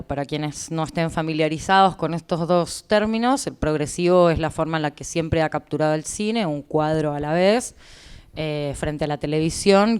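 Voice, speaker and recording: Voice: mid-range voice, a little mellow, clean tone. An interpreter with an Argentine Spanish accent, explaining to listeners in consecutive turns at a conference.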